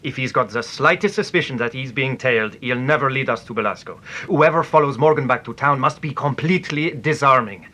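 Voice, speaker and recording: An actor playing a precise, formal French investigator in a radio detective drama, speaking insistently. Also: male voice, mid-range voice, fairly quick, hardly any pauses, sharp tone, close to an everyday voice. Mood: urgent, instructing. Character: intense, precise French male investigator from the continent.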